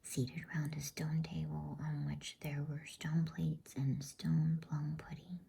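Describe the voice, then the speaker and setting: A woman reading a bedtime storybook aloud in a soothing, unhurried ASMR whisper. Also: deep voice, level tone, creaky, dark tone, slightly rough.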